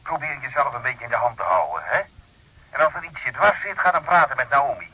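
An actor in a radio drama playing a busy, brisk but concerned friend, with a rough voice over the telephone. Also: male voice, mid-range voice, lively intonation, pushed and bright, rough recording. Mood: harried, impatient. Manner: brisk, impatient yet caring.

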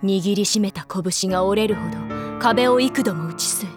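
A woman's voice: mid-range voice, moderate pace, over music, natural voice. A woman giving solemn, literary scripted narration for an audio drama.

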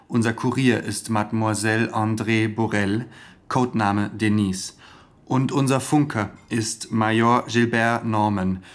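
Man playing a low-voiced, businesslike resistance leader. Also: steady tone, close to an everyday voice. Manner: matter-of-fact, explanatory, measured. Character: businesslike French resistance leader briefing a newcomer. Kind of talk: naturalistic German radio drama acting.

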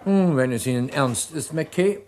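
An authoritative prosecutor with a fairly high male voice and very lively intonation. He sounds official, sceptical and impatient, in the style of a vintage radio crime drama. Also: a little slow, background noise. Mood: brisk, commanding. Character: brisk, authoritative British public prosecutor, sceptical. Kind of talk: 1950s to 80s German radio crime drama acting.

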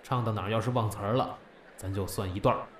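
A man playing a stern but fair crosstalk master, measured and instructive, in an audio drama. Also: fairly low pitch, steady tone, a little hiss or hum, lightly acted. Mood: genial.

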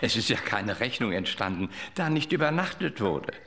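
A man playing an obliging, officious hotelier in a radio drama, with a creaky voice and formal, long-winded manners. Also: fairly low pitch, big pitch swings, moderate pace, sharp tone, exaggerated voice. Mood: officious, courteous.

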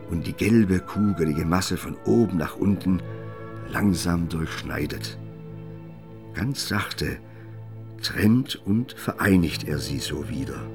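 A man narrating a literary radio play in a measured, storytelling way. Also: on the low side.

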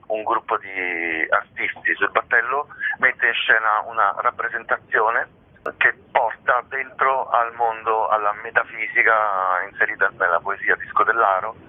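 A man giving explanatory interview answers, hesitant, with frequent drawn-out hums as he thinks. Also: fairly low pitch, fairly even intonation. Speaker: theatre company director interviewed about a show. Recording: tinny, narrow-band phone line.

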